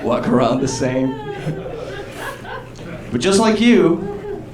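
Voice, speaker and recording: A man from Chile performs live stand-up comedy, talking conversationally with pauses and bursts of exclamation. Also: fairly high pitch, huge pitch swings, crowd noise, background noise. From southern Chile. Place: small comedy theater stage, indoors. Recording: roomy live club sound, distant, audience. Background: audience laughter.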